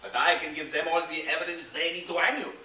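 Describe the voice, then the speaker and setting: A man's voice: on the high side, fairly even intonation, fast, sharp tone, a little hiss or hum. A man playing a middle-aged con man who fakes a French accent and blackmails with oily menace, recorded far from the mic in an echoey room. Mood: menacing. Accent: fake French.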